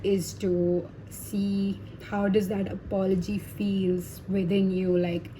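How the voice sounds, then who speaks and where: Woman, tarot reader, native Hindi speaker; casual, reassuring chat, mid-pitched mellow tone. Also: level tone, slow pace, a little hiss or hum, rough recording. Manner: chatty, reassuring, many fillers and tag questions. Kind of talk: casual tarot reading to viewers.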